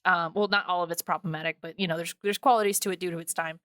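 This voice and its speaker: A woman with a bright, crisp voice at an average pitch chats as a podcast host. She is effusive and informal, wandering through her thoughts. The recording sounds dry. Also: fairly even intonation, racing, no echo. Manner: gushing, informal, rambling. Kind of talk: casual podcast chat.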